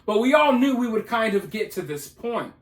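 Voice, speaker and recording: A man's voice: sits high, sing-song, moderate pace, reverberant. A man giving casual, opinionated news commentary, speaking emphatically in colloquial language and repeating himself for effect. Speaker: commentator hosting a news video.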